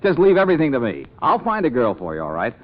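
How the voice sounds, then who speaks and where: An actor playing a breezy, smooth-talking fixer who is helpful but slightly exasperated, in an old-time radio comedy. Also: male voice, medium pitch, wildly swooping pitch, fast. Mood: reassuring, surprised. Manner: glib, chuckling, smooth-talking. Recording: tinny, noisy vintage radio recording.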